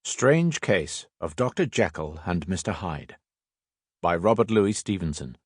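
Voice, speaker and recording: A man reading a classic novel aloud as an audiobook narrator, with a measured, expressive storytelling delivery. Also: lowish voice, no room sound, clean studio sound. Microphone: close studio mic.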